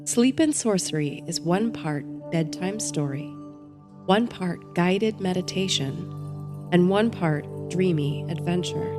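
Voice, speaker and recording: A woman host narrating a bedtime story and guided meditation in a soothing, gentle, measured way. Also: lowish voice.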